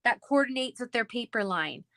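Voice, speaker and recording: A Canadian woman with a fairly high voice chats casually as a craft host. She rambles through anecdotes in a giggly, informal way. The sound is dry, with very little room to it. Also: expressive, a little fast, sharp tone, dry recording. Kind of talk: casual chat while crafting.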